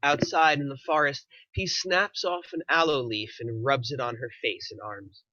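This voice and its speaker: A man's voice: mid-range voice, fairly even intonation, moderate pace, sharp tone, no room sound, muffled recording. A man reading screenplay stage directions aloud as the narrator of a table read.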